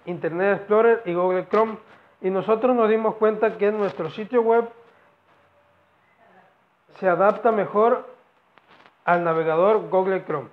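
A young man giving a halting student presentation, explaining project phases and repeating phrases as he goes. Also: high voice. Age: young adult. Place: indoors against a plain wall. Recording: dull lo-fi camera audio.

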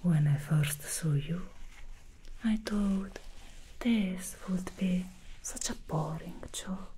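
Teasing female demon hairdresser played by a woman for ASMR, dark mellow whisper, very unhurried. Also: lowish voice, slight background noise. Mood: seductive whisper. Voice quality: soft whispering. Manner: slow, intimate, addresses listener directly. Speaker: ASMR roleplay creator. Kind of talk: ASMR roleplay.

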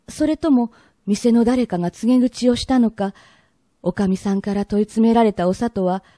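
A woman narrator with a very bright, forward voice in a dry recording. She tells a period radio drama formally, in measured, old-fashioned polite phrasing. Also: medium pitch, no echo.

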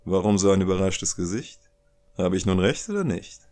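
A menacing mafia don acted by a man in an audio drama. His voice sits very deep as he talks down to people with stern, condescending threat, over faint music. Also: expressive, normal speed, darkish tone. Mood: smug menace. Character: menacing Italian mafia don, contemptuous protective father.

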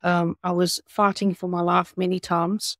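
A middle-aged woman with a South African accent, telling her story as an interview guest over a video call, with many hesitant pauses. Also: lowish voice, little pitch movement, gravelly, no echo, clean studio sound. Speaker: guest, patient advocate. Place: home room.

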